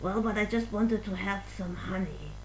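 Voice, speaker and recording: A woman's voice: medium pitch, normal speed, a little hiss or hum, natural voice, rough recording. A woman voicing a chatty, eager, honey-loving bear puppet in a homely way for a children's story time.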